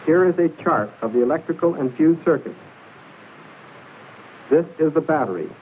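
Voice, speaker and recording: A man narrates an old military training film in a formal, measured, precise instructional style, reading from a script. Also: a little high, lively intonation, narrow, tinny audio. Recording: hissy band-limited 1940s film soundtrack.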